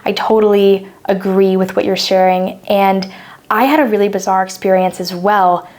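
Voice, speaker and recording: A woman's voice: mid-range voice, fairly even intonation, moderate pace, a little mellow. Thoughtful young woman explaining ideas. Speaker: podcast co-host. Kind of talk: casual chat.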